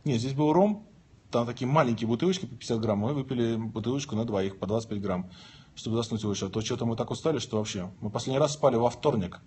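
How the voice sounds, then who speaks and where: A man's voice: mid-range voice, quick pace, darkish tone, slight background noise. Man chatting casually on a phone livestream. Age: 30s. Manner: joking, reads questions aloud then answers them. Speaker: livestream host. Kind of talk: casual livestream chat reading viewer questions. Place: lying in bed indoors. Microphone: phone held at arm's length.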